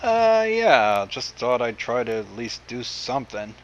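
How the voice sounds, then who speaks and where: A man's voice: medium pitch, big pitch swings, measured pace, muffled recording. A man hosting a livestream with casual chat over a voice call. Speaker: livestream host.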